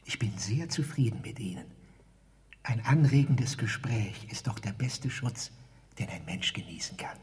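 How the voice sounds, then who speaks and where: An actor playing a meek, wheedling garage attendant in a radio drama, with wordy, formal pleading that often drops toward a breathy whisper. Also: male voice, fairly low pitch, animated, a little slow, darkish tone. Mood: relieved, sly. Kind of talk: theatrical radio drama dialogue. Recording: reverberant hall-like space.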